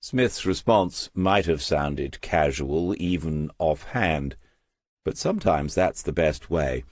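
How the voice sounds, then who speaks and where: A man narrating crime fiction from a script in a measured, literary storytelling manner. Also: rumbling low, expressive, a little mellow, dry recording, clean studio sound. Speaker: audiobook narrator.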